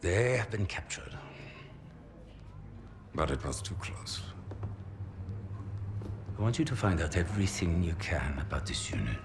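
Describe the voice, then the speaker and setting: An actor playing a stern, secretive, high-ranking Nazi commander in a war game, giving curt, ominous orders. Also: male voice, animated, a little slow, pauses often, background noise. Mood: cold, secretive. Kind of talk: game cutscene acting. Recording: music under the dialogue.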